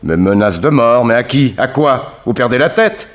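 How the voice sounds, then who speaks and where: A man's voice: mid-range voice, lively intonation, moderate pace, natural voice. An actor playing a nervous, evasive man of thirty-five under suspicion, defensive and indignant, in a 1960s French radio drama.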